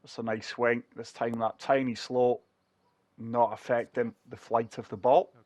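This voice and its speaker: Man, short of breath, chest infection, casual game commentary, mid pitch, dry close mic. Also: level tone, a little slow, darkish tone, no room sound. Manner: chatty, talks viewers through each shot. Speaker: gaming channel host.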